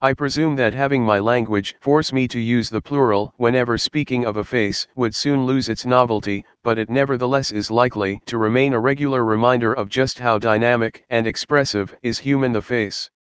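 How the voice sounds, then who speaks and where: Man, Bible study narration, measured and earnest, close dry recording. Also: mid-range voice, fairly even intonation, clear, resonant tone, dry recording. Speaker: Bible teacher. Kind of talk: scripted Bible study reading.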